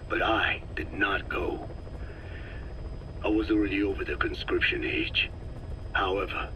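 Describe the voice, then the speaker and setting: A man playing a very old, solemn Navajo scientist in a video game, speaking slowly and formally with a breathy, whispery voice full of regret. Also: deep voice, background noise. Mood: solemn, explaining. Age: older. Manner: slow, solemn, measured, formal. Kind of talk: dramatic game dialogue, reflective monologue.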